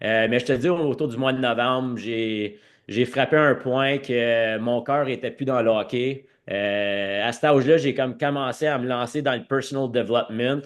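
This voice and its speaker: A man's voice: mid-range voice, moderate pace. A man with a French Canadian accent telling his own story conversationally as a podcast guest, sprinkling English words into his speech. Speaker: podcast guest, former hockey player.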